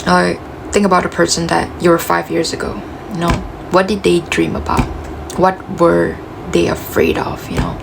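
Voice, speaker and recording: Woman, gravelly cracking voice, slow reflective pep talk, noisy background. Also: lowish voice, lively intonation. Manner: slow, reflective, full of fillers like "you know" and "like". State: voice cracking, losing her voice. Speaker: motivational speaker. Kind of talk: casual self-help pep talk to the listener.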